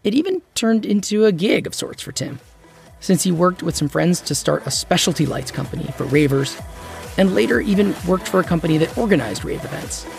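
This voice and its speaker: A man's voice: high voice, animated, brisk, gravelly, soft background music. A man hosting and narrating a documentary-style story in a conversational, personal scripted way. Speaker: host and narrator.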